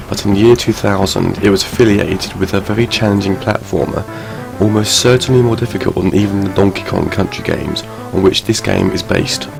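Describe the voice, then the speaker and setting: A man with a very deep, gravelly voice reads a game review in a measured way. Loud background music plays under his voice throughout. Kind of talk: scripted review narration.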